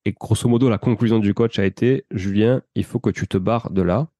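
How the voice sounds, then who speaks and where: A man's voice: lowish voice, fairly quick, warm tone, no room sound, clean studio sound. A man chatting informally and explaining at length as a guest in an interview. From France, of Portuguese descent. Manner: informal, talkative, explanatory, slangy. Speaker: interview guest, entrepreneur and property investor. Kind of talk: casual conversational interview, recounting own experience.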